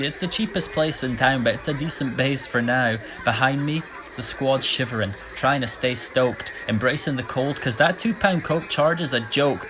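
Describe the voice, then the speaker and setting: Young British male slam poet; rhymed, rhythmic, theatrical storytelling. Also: mid-range voice. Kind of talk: rhymed slam poetry performance.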